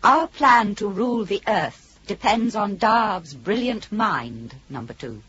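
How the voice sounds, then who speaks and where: An actress playing a calm, calculating female ship computer in a radio drama, speaking in a formal, coldly logical way. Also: mid-range voice, slow pace, crowd noise. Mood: cool, calculating. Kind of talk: 1980s radio drama acting. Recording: dull old radio recording, light noise.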